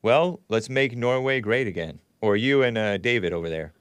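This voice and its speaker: A man's voice: fairly low pitch, moderate pace, dry recording. A man in his thirties hosting a call-in show, chatting casually into a close studio microphone. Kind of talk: casual talk-radio chat. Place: small studio. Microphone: broadcast microphone on boom arm, close.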